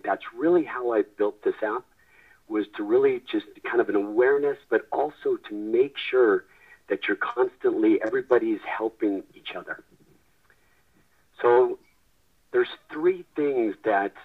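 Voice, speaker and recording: A man presenting a sales webinar, his voice raspy from a head cold, talking earnestly with frequent restarts. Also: fairly low pitch. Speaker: webinar presenter, company founder. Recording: tinny phone line.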